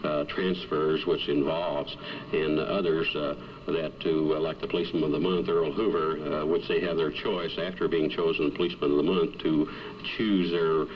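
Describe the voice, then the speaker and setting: A police chief, a man with a very deep bass voice, gives an unscripted radio soundbite. He halts often and fumbles for his words. The recording is dull and lo-fi. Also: little pitch movement, normal speed. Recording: dull vintage radio actuality.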